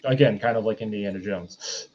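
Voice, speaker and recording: A man co-hosting an unscripted film discussion, explaining his points in a halting, casual way on a dull, lo-fi track. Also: on the low side, a little mellow. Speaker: podcast co-host.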